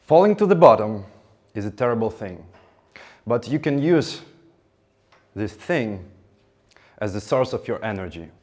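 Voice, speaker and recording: A man's voice: on the low side, unhurried, pauses often. Man telling a motivational story. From Ukraine. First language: Ukrainian. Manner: animated, acts out dialogue. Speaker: speaker.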